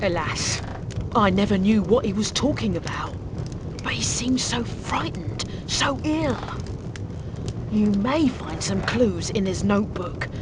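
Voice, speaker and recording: An actress voicing a frightened widowed innkeeper in a game cutscene, pleading and fearful, sometimes dropping to a whisper, over loud game music. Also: female voice, medium pitch, animated, measured pace, noisy background, natural voice.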